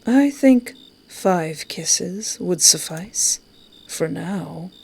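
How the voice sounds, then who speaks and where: A woman voicing a courtly, flirtatious male wish-granter from a visual novel, speaking softly and formally with a teasing edge. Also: on the low side, expressive, extremely slow, vocal fry, breathy edge, exaggerated voice, low-quality recording. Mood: tender teasing. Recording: music behind.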